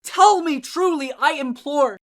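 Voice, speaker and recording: A woman acts out a haunted, grief-maddened gothic poetry narrator. The voice is high and declaims with theatrical passion, drawing words out at a slow, tormented pace. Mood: frantic pleading. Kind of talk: gothic poetry dramatic reading.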